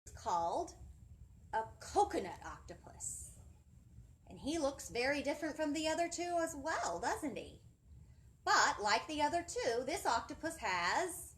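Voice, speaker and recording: A woman in her thirties presenting a children's storytime, talking in an animated, singsong way and putting questions to young listeners, recorded indoors on a camera mic at some distance. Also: high voice. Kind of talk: children's storytime teaching, addressing young viewers. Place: indoor library children's area, seated.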